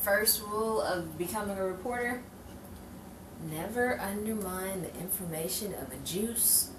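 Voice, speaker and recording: A woman's voice: mid-range voice, unhurried, close to an everyday voice. A woman playing a plucky, wry 1940s newspaper reporter in a noir radio play, with theatrical, musing delivery. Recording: echoey, distant, noisy room recording.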